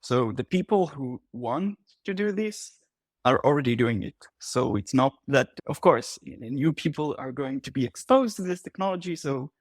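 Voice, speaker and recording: Un hombre que participa como invitado en un panel informal y habla con titubeos y pausas frecuentes. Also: male voice, mid-range voice, animated, no echo, clean studio sound. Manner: hesitant, full of pauses. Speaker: panel guest. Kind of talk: casual panel discussion.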